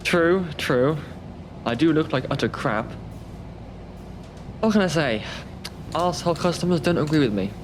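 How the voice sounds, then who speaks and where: A man's voice: on the high side, animated, moderate pace, pauses often, noisy background, natural voice. A man playing a tired, self-deprecating call-centre worker who banters drily in an audio drama. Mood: teasing, weary. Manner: dry, grumbling banter. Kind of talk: naturalistic audio drama acting.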